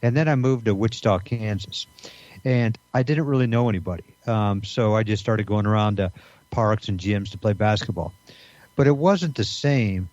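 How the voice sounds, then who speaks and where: A man in his fifties co-hosting a podcast, talking in halting casual chat full of fillers. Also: lowish voice, a little mellow, no echo. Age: 50s. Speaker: podcast co-host. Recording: dry, dull lo-fi sound.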